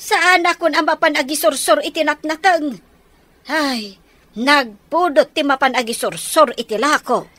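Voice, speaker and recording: A woman's voice: sits high, unhurried, fairly bright tone, no echo. A woman with an Ilocano accent acting an eager, grumbling village peddler in a radio comedy.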